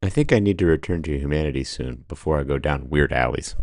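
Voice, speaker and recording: A man narrating his solo sailing trip in a dry, deadpan voice-over with wry humor. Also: extremely low pitch, quick pace, very noisy. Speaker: solo sailor vlogger.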